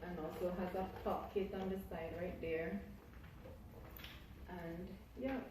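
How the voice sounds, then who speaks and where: Slow-talking Jamaican woman in an echoey room. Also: fairly low pitch, recorded from a distance, noisy background. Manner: effusive and repetitive, piling up thanks. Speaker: YouTuber. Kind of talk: casual vlog talk to camera.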